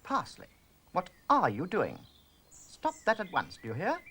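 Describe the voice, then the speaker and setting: A man voicing a prim, fussy elderly lady of the manor in a swooping falsetto. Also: wildly swooping pitch, unhurried, fairly bright tone, slightly rough, heavily acted.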